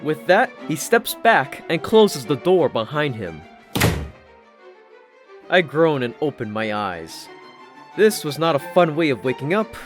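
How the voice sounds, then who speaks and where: Male audiobook narrator, slightly high register, expressive first-person storytelling over faint music. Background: background music.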